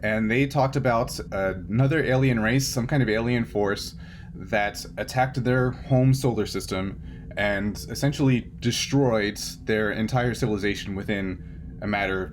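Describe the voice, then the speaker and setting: A man working as game master, narrating an improvised tabletop story in a halting, conversational way. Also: on the low side. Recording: clear steady background noise.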